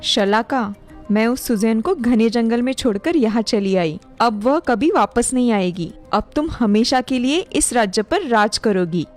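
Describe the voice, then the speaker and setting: A woman's voice: on the high side, expressive, unhurried. A woman playing a scheming, commanding stepmother sorceress in a children's fairy tale. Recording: long hall reverb, music behind.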